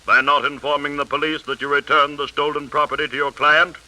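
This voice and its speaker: An actor playing a stern, solemn eighteenth-century judge, formal and declamatory, in 1930s radio drama style. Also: male voice, on the high side, little pitch movement, a little fast, pushed and bright. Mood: cold, accusing. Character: stern, solemn, unbending male 18th-century court judge. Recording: scratchy 1930s radio broadcast.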